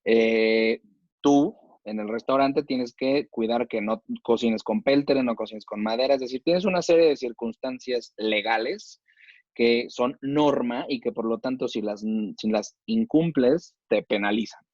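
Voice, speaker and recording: A man's voice: mid-range voice, a little slow, a little mellow, dry recording, muffled recording. A man with a Mexican accent, a chef debating in a casual, slangy way and using plenty of rhetorical questions.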